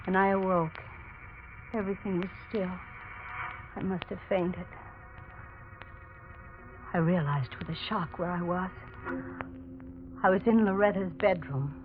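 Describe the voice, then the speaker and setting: A woman's voice: fairly low pitch, a little slow, long pauses, over music, natural voice. An actress playing a terrified, distraught young woman narrating a murder mystery in dramatic 1940s radio style. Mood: weary, numb. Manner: breathless, dramatic, anguished. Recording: dull, noisy 1940s radio recording.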